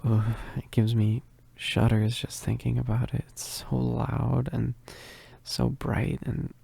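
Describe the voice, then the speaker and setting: A man playing a shy, needy, gentle werewolf roommate in an intimate ASMR roleplay, hesitant and stammering. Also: mid-range voice, flat delivery, slow pace, vocal fry, breathy edge, exaggerated voice. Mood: anxious, confiding. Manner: hesitant, stammering, bashful with soft chuckles.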